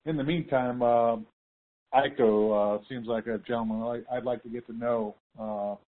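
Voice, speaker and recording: A man's voice: mid-range voice, steady tone, normal speed. Hesitant male caller on a phone line. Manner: polite, hesitant, tentative. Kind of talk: casual conference-call conversation. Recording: narrow tinny phone line.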